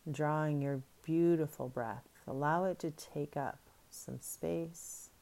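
Slow, deep-voiced woman guiding meditation. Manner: soft, slow, soothing instructions. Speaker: meditation guide. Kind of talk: guided breathing meditation.

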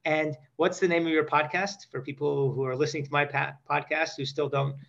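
A man in his forties with a fairly high voice hosts a comedic interview show. He jokes at his own expense as he banters. The recording sounds a bit dull and muffled. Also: little pitch movement, a little fast, vocal fry, fairly bright tone. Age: 40s. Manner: self-deprecating, joking. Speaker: podcast host.

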